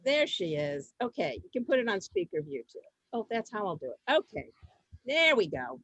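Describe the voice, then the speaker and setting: A woman's voice: medium pitch, very animated, moderate pace, a bit bright, slightly rough, dry recording. A woman informally hosting a live online event, flustered and often repeating her phrases. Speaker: host. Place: indoors, on a video call. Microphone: video call.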